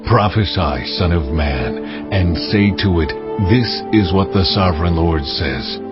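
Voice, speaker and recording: An actor playing God in a dramatized scripture reading, speaking solemnly and deliberately, in a commanding, declamatory manner. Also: male voice, low-pitched, expressive. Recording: dull muffled audio with music behind. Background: music.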